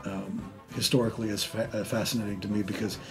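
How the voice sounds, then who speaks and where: Lowish-voiced man interviewing. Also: level tone, fast, soft background music. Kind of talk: casual interview chat.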